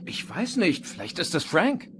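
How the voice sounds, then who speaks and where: An actor voicing a confident, upbeat, friendly young race car champion in an animated film dub, casual and cheerful. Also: male voice, a little high, normal speed. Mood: puzzled. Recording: faint background noise.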